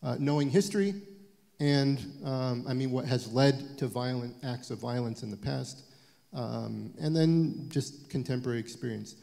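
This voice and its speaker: A man in his forties, speaking on a panel in a halting, thoughtful way with frequent restarts, in an event hall. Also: mid-range voice, creaky, warm tone. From United States. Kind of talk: panel talk. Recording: long reverb of a lecture hall.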